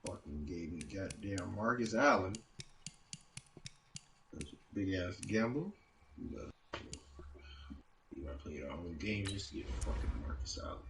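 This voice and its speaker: Male gamer, low voice, profane muttering; distant mic, echoey noisy room. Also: animated, normal speed, long pauses. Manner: grumbling, profane, talking to himself. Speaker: game streamer. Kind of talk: casual live gameplay talk.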